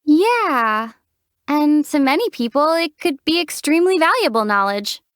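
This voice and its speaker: A level-headed young traveler heroine, her voice high for a woman and recorded close and dry. She answers in a calm, gently wry way, with steady, unhurried delivery. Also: dry recording, full character voice. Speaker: game protagonist. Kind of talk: video game character dialogue.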